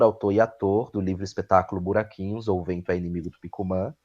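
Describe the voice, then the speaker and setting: Low-voiced Brazilian man, dull recording. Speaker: guest playwright and actor. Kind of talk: conversational interview answers about own work.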